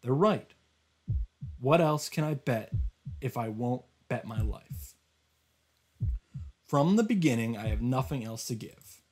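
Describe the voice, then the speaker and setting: A man voicing a desperate, determined young hero, narrating a visual novel in an intense, halting, solemn way. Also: mid-range voice, expressive, noisy background. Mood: desperate resolve.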